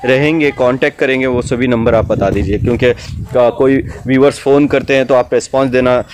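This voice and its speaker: A man's voice: mid-range voice, fairly even intonation, fairly quick, darkish tone. A man hosting a casual on-site livestock vlog, politely chatting and explaining to viewers. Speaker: host and interviewer. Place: open-air fenced goat farm yard. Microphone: handheld camera or phone mic. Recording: clear outdoor noise, animal sounds.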